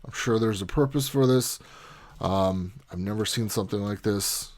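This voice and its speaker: A man's voice: lowish voice, normal speed, darkish tone. A man hosting a casual unboxing video, talking informally and explaining things step by step. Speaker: video host, product unboxer.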